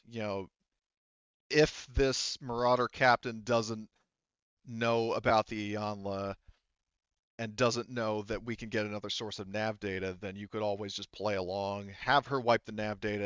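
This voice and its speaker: A man with a deep, clean voice acts as game master of a tabletop roleplaying game. He narrates in a halting, conversational way, and the sound is dry and slightly dull. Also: steady tone, fairly bright tone, smooth, no echo. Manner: halting, conversational, relays characters' speech indirectly.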